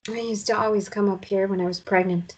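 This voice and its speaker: A woman's voice: mid-range voice, steady tone, fairly quick, a little mellow, breathy edge, close to an everyday voice, low-quality recording. A woman playing a wistful, dreamy mother by the coast in a naturalistic romance drama read, understated and reflective. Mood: wistful, playful.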